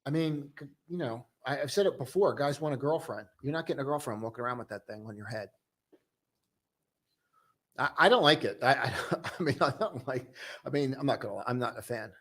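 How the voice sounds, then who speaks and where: A young man casually hosting a live stock-trading stream, chatting loosely and joking with viewers in a relaxed, tired way. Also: mid-range voice, brisk. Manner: loose, joking, full of restarts and "dude". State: tired, low on coffee.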